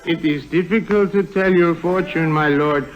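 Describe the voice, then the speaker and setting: An actor playing an old, flattering bazaar fortune teller in an old radio drama. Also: male voice, on the high side, moderate pace, dull audio, close to an everyday voice. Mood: mystical, solemn. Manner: flowery, reverent flattery in broken English. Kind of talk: 1950s radio drama acting. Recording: old radio recording, faint music.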